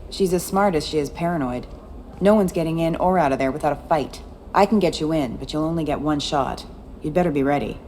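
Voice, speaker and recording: A woman's voice: low-pitched, a little fast, warm tone, a little hiss or hum, close to an everyday voice. A woman playing a tough, cynical former security chief in video game dialogue, blunt and guarded. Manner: blunt, matter-of-fact.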